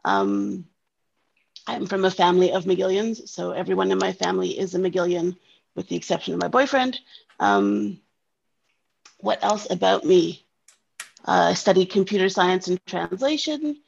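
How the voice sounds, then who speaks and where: Woman from Montreal, staff member, husky and gravelly, casual, on a lo-fi recording. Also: on the low side, normal speed, long pauses. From Montreal, Canada. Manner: relaxed, with frequent "um". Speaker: interviewee, university staff member. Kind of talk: casual self-introduction. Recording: dull lo-fi recording.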